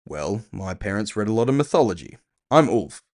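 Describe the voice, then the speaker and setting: Man playing a low, gruff, impatient bounty hunter. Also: very animated, moderate pace, a little mellow. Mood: wary. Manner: terse, sardonic, commanding.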